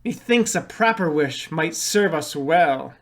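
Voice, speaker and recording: A woman's voice: deep voice, expressive, takes it slowly, voice pushed down, full character voice. A woman voicing a pompous, hearty male knight in a storybook, declaiming in archaic style. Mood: grand.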